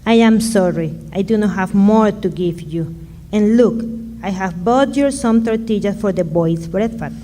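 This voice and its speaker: A woman playing a humble, generous Mexican mother in a radio drama, speaking halting Spanish-accented English sprinkled with Spanish words. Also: mid-range voice, mellow, slight background noise, close to an everyday voice. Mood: gentle, helpful.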